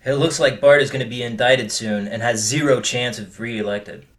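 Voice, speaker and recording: An actor playing a wry, self-deprecating boyfriend with dry humour in audio drama dialogue. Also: male voice, mid-range voice, fairly even intonation, normal speed, fairly bright tone, natural voice. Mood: wry, relieved.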